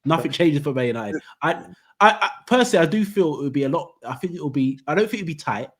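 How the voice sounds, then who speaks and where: A man's voice: on the high side, lively intonation, fast talker, slightly rough. A man from hosting a casual football debate, rambling and restarting his sentences as he argues. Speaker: football podcast host.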